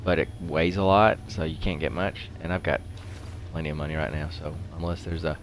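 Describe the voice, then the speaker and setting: A man's voice: a bass voice, steady tone, fairly quick, slight background noise. A middle-aged man giving casual commentary as he plays a video game, rambling and talking to himself. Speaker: gaming video host.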